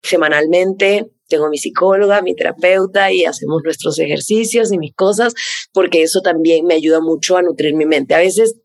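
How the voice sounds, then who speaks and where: A young woman from Venezuela whose accent has picked up Mexican features, answering interview questions in a fast, animated way and repeating words for emphasis. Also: lowish voice, creaky, no room sound, clean studio sound. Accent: Venezuelan with Mexican influence. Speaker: content creator, guest.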